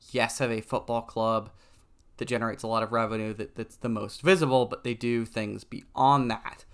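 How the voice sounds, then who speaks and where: A man hosting a solo podcast, explaining in a conversational way with frequent ums and pauses. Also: mid-range voice, fairly bright tone, smooth. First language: English. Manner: conversational, frequent ums, stumbles over foreign names. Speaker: podcast host. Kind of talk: solo educational podcast talk.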